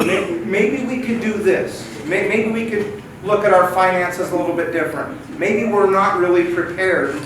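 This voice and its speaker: Halting man in an echoey chapel. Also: on the high side. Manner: halting, repeats words, earnest. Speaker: bishopric member leading a church lesson. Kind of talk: church lesson introduction. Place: church meeting room. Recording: echoey hall, distant mic, noisy.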